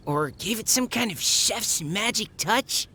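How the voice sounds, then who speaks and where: An eager young adventurer in a video game, her mid-height voice rising and falling with lively expression. She sounds curious and keen. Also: mid-range voice, a little slow, slight background noise. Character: eager young woman adventurer who cooks for her party. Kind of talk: video game cutscene voice acting.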